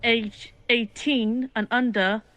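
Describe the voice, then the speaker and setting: A woman's voice: a little high, steady tone, takes it slowly, a bit bright. A young woman playing a stern, clipped detective chief in a dystopian audio drama. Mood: cold, official. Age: young adult. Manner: official, clipped. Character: stern, commanding female detective chief of an authoritarian police.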